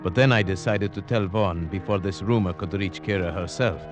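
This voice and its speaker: A man acting an earnest, haunted narrator-hero in a vintage radio suspense drama, with measured, grave, theatrical delivery. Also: low voice, over music, lightly acted. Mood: grave, suspenseful. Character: earnest male narrator-hero haunted by dread, foreign gentleman.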